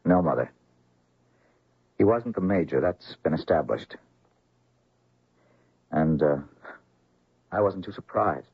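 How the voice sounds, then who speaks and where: Casting: insistent doctor son, lively swings in pitch, urgent questioning, close dry sound on dull vintage radio. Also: male voice, slow, pauses often, slightly rough, dry recording, heavily acted. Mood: grave. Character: earnest, insistent male doctor, grown son. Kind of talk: radio drama acting. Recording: dull, muffled old radio recording.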